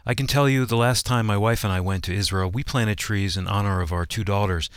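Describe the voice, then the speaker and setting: A man's voice: on the low side, brisk, smooth, no room sound, clean studio sound. A man announcing and co-hosting a radio program in a scripted broadcast style. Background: music playing under the voice.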